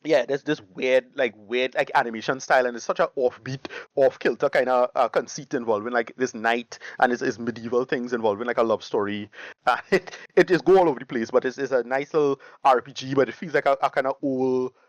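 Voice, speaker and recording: A man's voice: medium pitch, fairly quick, no room sound, highs cut off. A man giving casual podcast reviews, restarting phrases often and leaning on enthusiastic slang. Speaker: podcast co-host. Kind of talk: casual chat, reviewing favourite games.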